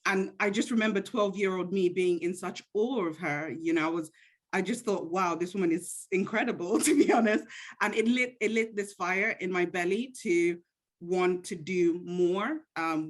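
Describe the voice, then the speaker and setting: A young woman from Zambia, answering interview questions in a friendly, self-effacing way. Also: fairly low pitch. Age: young adult. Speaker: interview guest.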